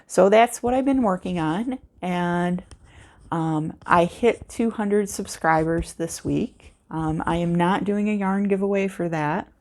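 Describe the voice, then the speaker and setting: An American woman chatting casually in an unscripted vlog, with a calming voice and a rambling, unhurried way of talking. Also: on the low side. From United States. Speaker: craft vlogger, host. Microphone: external microphone with a cell phone.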